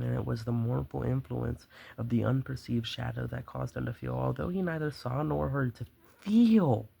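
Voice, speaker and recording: A man playing a gloating, obsessive madman in a horror story, with a gravelly voice and theatrical, drawn-out emphasis. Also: mid-range voice, warm tone, poor audio. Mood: tense, ominous. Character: gloating, obsessive madman confessing his murderous stalking.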